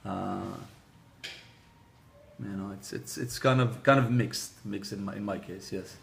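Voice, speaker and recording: A man's voice: on the low side, fairly even intonation, a little slow, gravelly, a bit bright, slight background noise. A man from Israel whose first language is Hebrew, answering interview questions as a car sales manager, hesitant and full of restarts and fillers.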